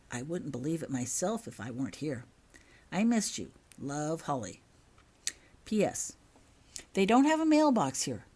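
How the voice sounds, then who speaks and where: A woman's voice: low voice, a little mellow, slightly rough, heavily acted. A woman voicing an earnest young schoolgirl's confiding letter in a storybook reading.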